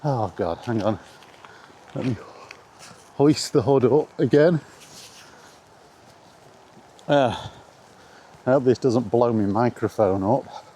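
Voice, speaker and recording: British bloke middle aged, relaxed dark tone, bit sweary and meandering, chatting outside w faint ambient noise. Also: male voice, medium pitch, slow, long pauses. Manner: informal, meandering, mildly sweary. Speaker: video maker chatting about video games. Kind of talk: casual rambling vlog chat. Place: outdoors in the rain, walking.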